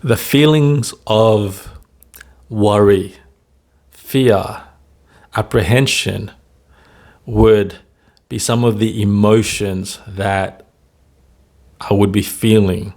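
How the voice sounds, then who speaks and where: Man, stutter coach, slow and measured, lowish voice, pauses often, checks in with "right?". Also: darkish tone. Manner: slow, deliberate delivery with frequent pauses and "right?" tags. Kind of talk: motivational talk to camera.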